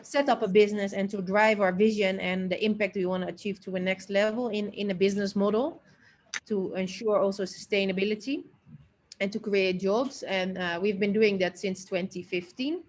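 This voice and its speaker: A woman's voice: medium pitch, steady tone, normal speed. A woman from the Netherlands introduces herself as a managing director in an informal online seminar, over internet call audio.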